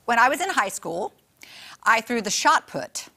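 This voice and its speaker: A woman's voice: on the high side, big pitch swings, unhurried, gravelly, sharp tone. A woman co-hosting a morning TV show, bubbly and teasing in casual banter, on a lapel mic in a studio. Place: TV studio set.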